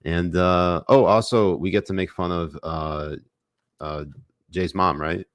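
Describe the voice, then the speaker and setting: A low-voiced young man hosting a comedy podcast. His talk is crude, joking and rambling, full of restarts. The sound is close and dry, with no room around it. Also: fairly even intonation, slow, no room sound. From United States. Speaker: podcast host. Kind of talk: casual comedic podcast banter.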